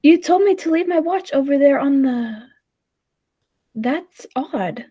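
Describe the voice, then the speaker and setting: High-pitched, anxious woman acting a time traveller's companion. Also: expressive, slow, mellow. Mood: uneasy. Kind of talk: 1940s-style radio play acting and narration.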